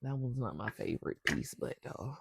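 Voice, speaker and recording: A woman with a stuffy nose guiding a spiritual intention ceremony with breathwork, speaking slowly and softly and often dropping to a whisper. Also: very deep voice, lively intonation, vocal fry, slightly rough, dry recording, clean studio sound. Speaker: spiritual circle facilitator.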